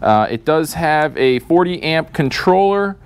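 A man's voice: on the high side, animated, a little slow, smooth. A man in his thirties, a store host, walking through product specs in an upbeat sales manner in a showroom. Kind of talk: product walkthrough. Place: showroom full of scooters.

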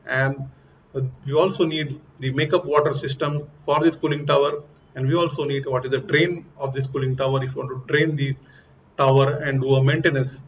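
Man, middle-aged, earnest instructor, slightly high voice, muffled lo-fi audio, faint hiss. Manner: earnest, explains step by step, pointing things out on diagrams. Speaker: HVAC instructor. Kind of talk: technical lesson over slides. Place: small indoor room. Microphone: lapel mic. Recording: dull lo-fi audio with faint noise.

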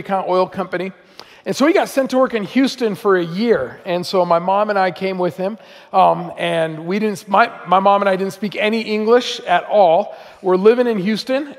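A man's voice: sits high, moderate pace. A man in his forties, a guest speaker, giving a sermon full of chatty, self-deprecating stories with plenty of fillers. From Iran, raised in Southern California. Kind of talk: storytelling sermon. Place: church auditorium. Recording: long reverb of a large hall.

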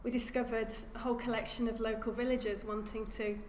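A woman archaeologist giving an academic lecture at a lectern, speaking in a measured way and often repeating words as she corrects herself. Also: a little high, little pitch movement. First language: English. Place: lecture room. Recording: echoey lecture hall with faint hum.

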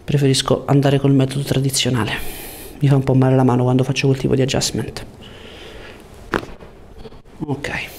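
A man in his thirties speaks as a chiropractor guiding a patient. His voice is mellow and relaxed, giving short hands-on commands with reassurance. It is picked up on a lapel mic in a small room, with faint noise behind. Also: medium pitch, normal speed, vocal fry, pauses often. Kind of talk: calm hands-on instructions to a patient. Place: small treatment room indoors. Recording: noticeable room background noise.

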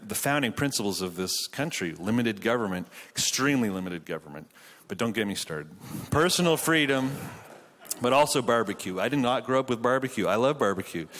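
A man's voice: medium pitch, lively intonation, normal speed, a bit bright. A man in his thirties from Quebec with a Canadian accent, giving a chatty, self-deprecating conference sermon on a handheld mic in a church auditorium. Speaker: pastor and conference speaker. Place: large church auditorium with stage. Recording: long hall reverb.

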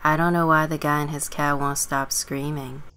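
Teenage runaway girl, played by a woman: low-pitched, dry, stubborn, sardonic, life-simulation game story. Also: level tone, moderate pace, bright, forceful tone, clear, resonant tone. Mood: dry, offhand.

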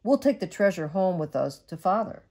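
A woman's voice: lowish voice, very animated, moderate pace, warm tone, voice pushed down. A woman voicing a brave young boy in a bedtime story, comforting and eager. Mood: reassuring.

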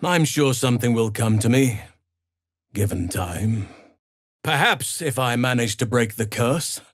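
An actor playing a gentle, solemn druid healer in a fantasy video game, speaking in a measured, earnest, formal way. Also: male voice, medium pitch, animated, dry recording, studio quality. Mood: warm, earnest.

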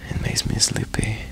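A man whispering softly in a teasing way, with breathy laughs, in an intimate ASMR roleplay.

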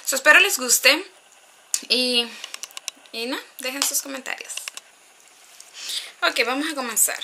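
A woman's voice: high voice, unhurried, long pauses, sharp tone, a little hiss or hum. A woman in her thirties from Honduras, chatting casually through a makeup tutorial at a close webcam in a small bedroom, rambling with asides and dropping in English words. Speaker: beauty vlogger. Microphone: webcam at close range.

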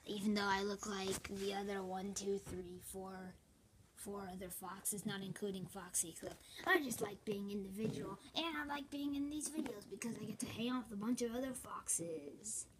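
A woman voicing a proud, individualist phantom fox plush toy with a breathy delivery. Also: mid-range voice, steady tone, normal speed, pauses often, noisy background, pitched below the performer's own voice, lightly acted.

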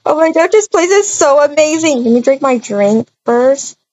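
A woman voicing an excitable, bubbly young woman in a game roleplay, gushing with breathy exclamations. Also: high-pitched, normal speed. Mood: amazed, delighted. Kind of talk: improvised video game roleplay. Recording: dull, muffled lo-fi recording.

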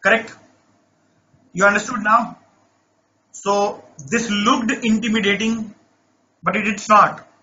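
A man runs a live online math class in a high, sharply bright voice. He coaxes students along, checks in with them again and again, and sounds a little off-voice. The audio is dull and lo-fi, with faint noise behind him. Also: fairly even intonation, slow pace, pauses often. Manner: coaxing, repeats checks like "right?". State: voice not normal, says he lost his voice. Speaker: math teacher.

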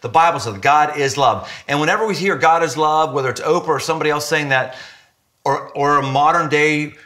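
Earnest, bright-voiced middle-aged pastor. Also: male voice, a little fast. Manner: earnest, emphatic, with lively jumps in pitch when stressing points. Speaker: senior pastor. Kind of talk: sit-down interview conversation. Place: living room with window, indoors.

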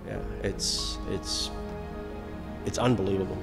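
A man's voice: deep voice, steady tone, measured pace, creaky. A man giving a news interview, hesitant, with many restarts. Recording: music bed under speech.

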